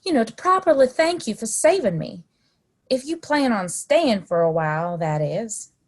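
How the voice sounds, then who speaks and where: A woman playing a friendly, bold frontier townswoman in a western audio play, slightly husky and chatty. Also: fairly high pitch, sing-song, normal speed. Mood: warm, earnest.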